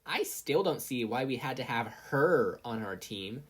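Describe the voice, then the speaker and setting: A woman's voice: a bass voice, lively intonation, a little slow, slightly rough, exaggerated voice. A woman voicing a brash, argumentative teenage girl inventor in a story reading. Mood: defensive, complaining. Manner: smug, argumentative.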